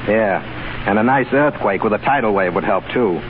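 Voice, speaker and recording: An actor as a wisecracking American sidekick. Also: male voice, lowish voice, normal speed, close to an everyday voice. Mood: sarcastic. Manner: wisecracking, dry sarcasm. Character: sardonic American sidekick, man. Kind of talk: 1930s radio drama acting. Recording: tinny, hissy 1930s radio broadcast.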